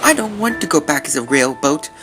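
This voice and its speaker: Woman acting earnest, lively little talking sailboat. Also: low-pitched, a little fast, background noise. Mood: worried. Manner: hesitant, pleading. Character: earnest, apologetic, anxious young sailboat character. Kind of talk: amateur audio drama acting.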